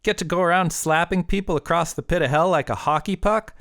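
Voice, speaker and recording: A man voicing a brash, flippant young woman with slangy, excitable delivery in an audiobook story. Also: a little high, brisk, no room sound, clean studio sound, pitched above the performer's own voice. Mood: eager.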